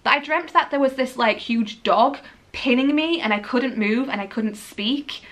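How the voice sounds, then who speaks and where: A young woman from Yorkshire with a Lincolnshire English accent, chatting casually about books in her living room, animated and laughing often. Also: high voice, moderate pace. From Yorkshire, England. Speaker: book vlogger.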